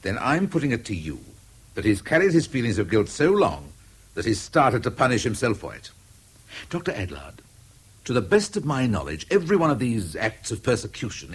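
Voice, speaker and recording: An actor playing a sceptical police inspector in a radio drama, formal, incisive and deliberate, with an English accent. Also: male voice, mid-range voice, wildly swooping pitch, slightly rough. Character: probing, sceptical male police inspector, brusque with subordinates. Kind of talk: 1970s British radio drama acting.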